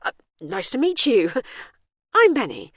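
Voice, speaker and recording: Lady reader doing audiobook heroine, kinda flustered and sorry, wry, bouncy pitch, very dry sound. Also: wildly swooping pitch, measured pace, husky, no room sound, heavily acted. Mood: apologetic, awkward. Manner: hesitant, wry, conversational. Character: awkward, apologetic, plucky woman adventurer. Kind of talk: audiobook character voice.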